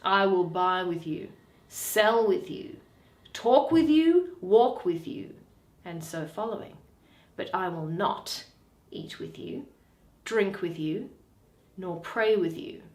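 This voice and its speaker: A woman's voice: lowish voice, lively intonation, slow, pauses often, voice pushed down. A woman voicing a shrewd, bitter male moneylender in a Shakespeare play, drawing out and weighing each phrase. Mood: scornful. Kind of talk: dramatic Shakespeare reading. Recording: roomy echo.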